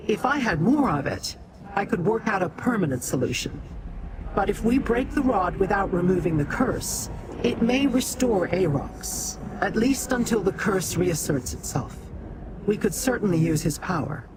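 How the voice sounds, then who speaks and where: A woman's voice: mid-range voice, expressive, moderate pace, noisy background, close to an everyday voice. A woman as a grave, formal mystic guide. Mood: grave, urgent. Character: solemn, urgent mortal-addressing mystic guide and schemer. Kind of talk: fantasy video game acting. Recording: game music behind.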